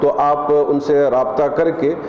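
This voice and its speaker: A man's voice: on the high side, level tone, slow pace, highs cut off. An Indian man, a community leader, explaining things and making a public appeal. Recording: echoey room with background noise.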